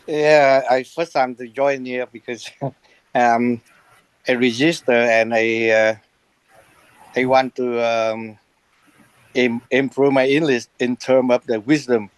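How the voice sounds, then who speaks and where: A man with a Vietnamese accent speaking as a class participant on a video call, halting with many fillers. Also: mid-range voice, little pitch movement, clean tone, dry recording, poor audio.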